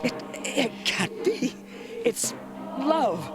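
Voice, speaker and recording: A man's voice: very high voice, sing-song, drawn-out words, over loud music, full character voice. A man voicing an ancient, haughty eldritch horror, a pompous and menacing villain in a video game. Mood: incredulous, rattled. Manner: pompous, theatrical, taunting. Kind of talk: dramatic video game voice acting.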